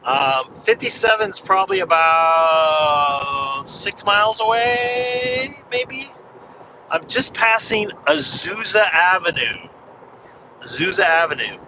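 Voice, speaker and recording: A man slightly hoarse from losing his voice, chatting in a rambling way while driving. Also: sits high, sing-song, slow. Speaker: ham radio vlogger. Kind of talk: casual chat while driving. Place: inside a car on a freeway. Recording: dull muffled car recording with road noise. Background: road and engine noise.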